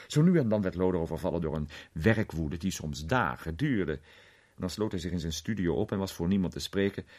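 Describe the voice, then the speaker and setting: A man playing a worldly film actor in a radio drama, recounting an unsettling memory in a conversational, sometimes hesitant, theatrical way with a husky voice. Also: deep voice, lively intonation, a little fast, darkish tone. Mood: reminiscing. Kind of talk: radio drama storytelling in character.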